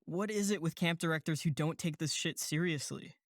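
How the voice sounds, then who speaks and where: A woman acting in an audio drama as a sensible, sardonic young camp counselor with a measured, deadpan delivery. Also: sits low, no room sound, clean studio sound.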